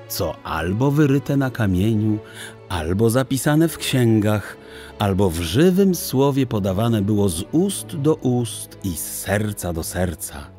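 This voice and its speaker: A man's voice: mid-range voice, mellow. A man narrating a children's fantasy audiobook in a solemn, dramatic, literary storytelling manner.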